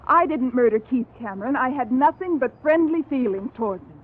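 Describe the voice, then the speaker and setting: Indignant suspect on tinny old radio. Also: female voice, high-pitched, animated, brisk, husky. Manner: indignant, insistent. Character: defensive, indignant woman, a murder suspect. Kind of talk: 1950s radio drama acting.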